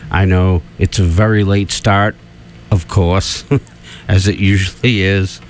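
A man's voice: low-pitched, little pitch movement, slow, a little hiss or hum. A man with a Boston accent hosting a radio show, rambling and laughing as he corrects himself in casual banter. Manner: giggly, rambling, self-correcting. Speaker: radio host.

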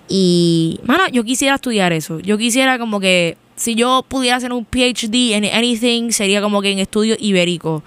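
A woman's voice: mid-range voice, a bit bright, clear, resonant tone. Chatty Puerto Rican woman on the radio. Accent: Puerto Rican Spanish. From Puerto Rico. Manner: chatty, rambling, switches between Spanish and English mid-sentence. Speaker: college radio host. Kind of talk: casual on-air chat.

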